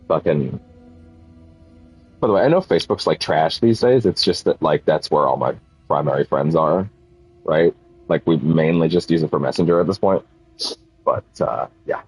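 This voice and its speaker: A man's voice: extremely low pitch, lively intonation, normal speed, pauses often, a little mellow, breathy edge, soft background music, rough recording. A man streaming a game, chatting casually in a rambling way with lots of fillers, a little sniffly from a cold. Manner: rambling, filler-heavy, self-correcting. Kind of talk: casual livestream chat while gaming.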